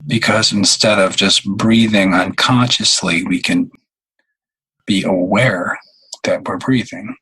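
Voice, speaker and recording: A man with a fairly low, husky voice leads a guided meditation. He speaks very slowly and leaves long pauses, often halting mid-phrase. His tone has a bright, forward edge despite the unhurried delivery. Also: rough recording.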